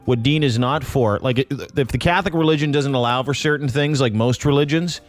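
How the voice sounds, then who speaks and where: Man, radio host, wry, blunt, joking, mid pitch. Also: a little fast, soft background music. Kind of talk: morning radio banter.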